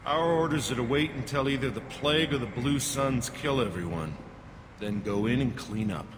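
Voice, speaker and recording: Game role: cynical alien quarantine guard male actor, mid-pitched, dry and dismissive, reverberant with noisy ambience. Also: moderate pace, lightly acted. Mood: matter-of-fact. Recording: reverberant, with loud background noise.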